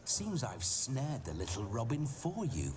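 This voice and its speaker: A man's voice: medium pitch, huge pitch swings, measured pace, a crowd in the background. An actor playing a vain, boastful male noble ghost in a game cutscene, cocky and scornful. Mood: smug. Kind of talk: video game cutscene acting.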